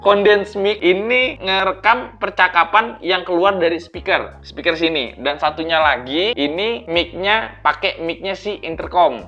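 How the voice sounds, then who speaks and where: A man talks viewers through gear in a casual, chatty way. His voice sits a little high. The recording is somewhat dull and lo-fi, with faint music underneath. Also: measured pace, hardly any pauses, sharp tone. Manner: informal, chatty, step-by-step. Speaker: vlogger, tech reviewer.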